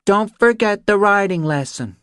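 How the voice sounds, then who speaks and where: A man's voice: high-pitched, expressive, normal speed, a bit bright, smooth, dry recording, studio quality, pitched above the performer's own voice. A man voicing a little girl in an audiobook, carefully reciting a message from memory. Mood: earnest, concentrating.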